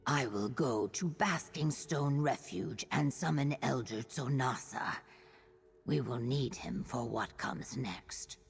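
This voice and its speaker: An actress voicing a solemn, guarded reptilian guide in a fantasy video game, speaking in measured, terse, raspy phrases. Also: female voice, extremely low pitch, heavily acted. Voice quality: raspy, breathy. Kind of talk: scripted video game acting. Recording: long cavernous reverb on voice.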